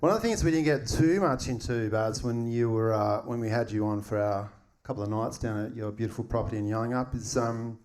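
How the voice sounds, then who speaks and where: A man's voice: mid-range voice, brisk, few pauses, a little mellow. A man, co-hosting a live podcast and leading into a question in a friendly way. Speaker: podcast co-host.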